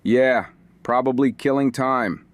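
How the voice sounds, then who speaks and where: A man playing a serious, knowledgeable companion who talks in a clipped, precise deadpan in an audio drama. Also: mid-range voice, slow pace, close to an everyday voice. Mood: measured, serious. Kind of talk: scripted fiction podcast acting.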